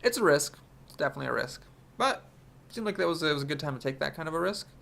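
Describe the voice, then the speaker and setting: A man's voice: a little high, expressive, racing, gravelly, fairly bright tone. A young man with a congested voice from a cold, thinking aloud as he commentates his own card game. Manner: analytical, thinks aloud about plays. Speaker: game streamer.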